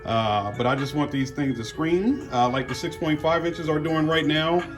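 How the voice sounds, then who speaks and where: A man's voice: fairly high pitch, moderate pace, music in the background. An American man giving casual unboxing commentary as a car audio vlogger, talking in a folksy, slangy way.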